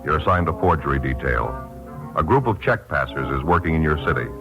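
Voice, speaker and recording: Loud music runs under an extremely deep male announcer's voice. He narrates gravely and formally, with weighty emphasis, on a dull old radio recording. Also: lively intonation, a little fast. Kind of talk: solemn 1950s radio narration.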